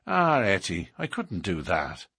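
A man's voice: low voice, wildly swooping pitch, a little slow, creaky, pushed and bright, husky, no echo, studio quality, pitched below the performer's own voice, exaggerated voice. A man voicing a secretive, passionate young lover with a lilt, speaking earnestly and coaxingly in an audiobook. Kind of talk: audiobook character reading.

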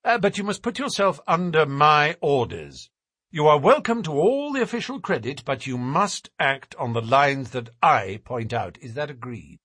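A man's voice: fairly high pitch, sing-song, normal speed, fairly bright tone, husky, dry recording, clean studio sound, exaggerated voice. A man playing a cool, self-assured detective. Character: calm, dry, self-assured male detective.

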